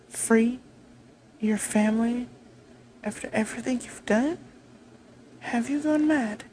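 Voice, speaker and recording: A woman playing a haughty, vengeful vampire queen in a roleplay monologue, imperious, taunting and deliberate in delivery. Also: a little high, a little mellow, slight background noise. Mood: scornful.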